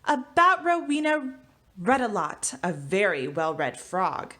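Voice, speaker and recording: A woman teacher giving a storybook read-aloud for children, with expressive delivery. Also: mid-range voice, takes it slowly. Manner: expressive, animated reading. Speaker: elementary school teacher.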